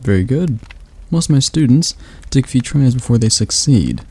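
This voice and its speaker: A man voicing a patient demon magic tutor in an ASMR roleplay, talking slowly and softly as he coaxes his student through a spell. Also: mid-range voice, lively intonation, gravelly, breathy edge, dry recording. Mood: patient, coaxing. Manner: slow, soft, coaxing instruction.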